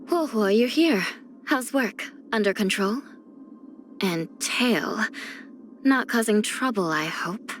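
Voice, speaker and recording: A woman playing a weary, grave young judge of the underworld in a video game, speaking softly in measured, formal phrases. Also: mid-range voice, animated. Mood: mild, polite. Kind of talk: scripted video game character voice lines. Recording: music under the voice. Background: background music.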